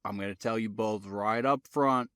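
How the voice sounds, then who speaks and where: Man doing a slow Tidewater-drawling detective. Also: fairly bright tone, clear, resonant tone, no echo, studio quality, lightly acted. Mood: dismissive. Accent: Tidewater Virginia drawl. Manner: slow, matter-of-fact, low and cool. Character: composed slow-drawling Southern male detective.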